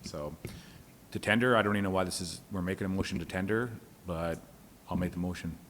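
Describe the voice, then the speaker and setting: A man with a deep voice speaks as a councillor in a meeting. He is plain-spoken and matter-of-fact, with a faint noise behind him. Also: fairly quick, a bit bright. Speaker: municipal councillor.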